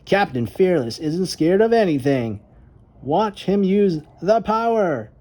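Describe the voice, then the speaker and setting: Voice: mid-range voice, sing-song, slow, mellow, voice pushed up. A woman voicing a boastful, dramatic young boy in a children's storybook, with a whiny, exclamatory way of talking.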